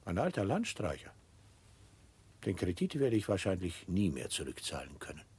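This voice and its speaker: Radio play role: proud, fussy old coachman, very husky low voice, hugely animated intonation. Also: normal speed, exaggerated voice. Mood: bewildered. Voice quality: husky, breathy. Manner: musing, fussy, incredulous. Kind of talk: absurdist radio play acting.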